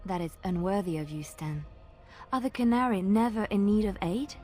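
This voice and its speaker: A woman's voice: mid-range voice, expressive, moderate pace, darkish tone, soft background music, close to an everyday voice. Woman acting an earnest, indignant adventurer. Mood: indignant reproach. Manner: earnest, reproachful. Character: indignant, principled female companion adventurer. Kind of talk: fantasy video game dialogue.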